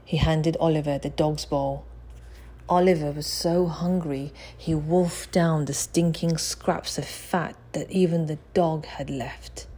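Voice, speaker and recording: Woman, audiobook reader, low voice, dark soft tone, expressive children's story narration. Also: takes it slowly, slightly rough.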